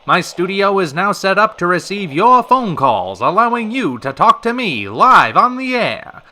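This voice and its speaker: A man's voice: high voice, very animated, unhurried, pushed and bright, exaggerated voice. A man playing a showy, genial old-time radio show host with a theatrical, emphatic announcer's delivery. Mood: showy, announcing.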